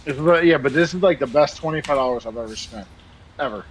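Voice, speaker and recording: A man's voice: medium pitch, fast, rough recording. A man with a Baltimore accent chatting casually and bluntly as a guest on a flight-sim stream. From United States. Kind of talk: casual chat. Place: voice chat on a game stream. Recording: steady background noise.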